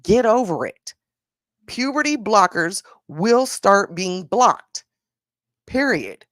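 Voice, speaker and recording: A woman's voice: medium pitch, sing-song, slow pace, a bit bright, no room sound, clean studio sound. A woman hosting a casual commentary podcast, talking in a sassy, blunt way, stretching out her rhetorical questions. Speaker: podcast host.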